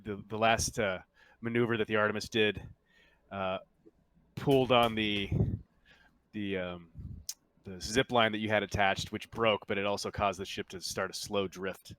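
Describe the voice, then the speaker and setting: A man running a tabletop roleplaying game as game master, narrating in a halting, self-correcting way. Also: fairly low pitch, fairly even intonation, a bit bright, clean tone.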